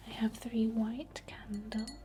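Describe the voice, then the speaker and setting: A woman whispering a ritual ASMR incantation in a breathy, deliberate way. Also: on the high side, level tone, dark tone. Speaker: ASMR witch and Reiki healer.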